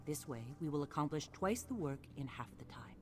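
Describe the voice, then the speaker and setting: An actor plays an earnest, bookish adventurer in a fantasy video game. The delivery is formal and measured at a medium pitch, full of purpose. Light music runs underneath. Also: animated, natural voice. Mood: earnest, resolute. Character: earnest scholarly adventurer planning a tomb search. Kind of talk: scripted fantasy game dialogue.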